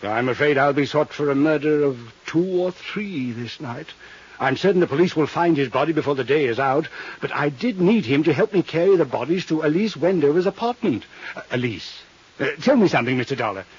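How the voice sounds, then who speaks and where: Florid old gentleman villain, muffled radio sound. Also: on the high side, animated, brisk, breathy edge. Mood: smugly courtly. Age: older. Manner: florid, theatrical, courtly phrasing with Latin flourishes. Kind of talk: 1950s radio drama acting. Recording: muffled 1950s radio recording.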